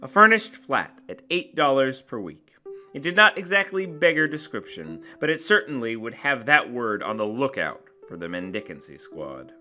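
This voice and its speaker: A man giving a theatrical, deliberate dramatic reading of a literary short story in an expressive storyteller's manner. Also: medium pitch. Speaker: narrator and channel host. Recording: acoustic guitar music behind the voice. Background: plucked guitar music.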